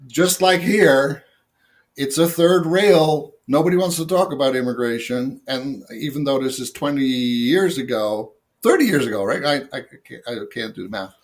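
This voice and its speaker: An older man from the Netherlands with a Dutch accent, a guest telling long rambling stories about his past jobs with lots of fillers and self-mocking asides. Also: medium pitch. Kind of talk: casual interview storytelling.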